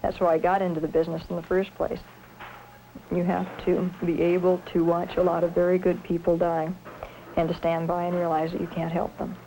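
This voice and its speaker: A woman surgeon speaking in a measured, articulate way in a reflective documentary interview. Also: fairly low pitch, little pitch movement, dark tone, low-quality recording. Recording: 1970s film sound with faint noise.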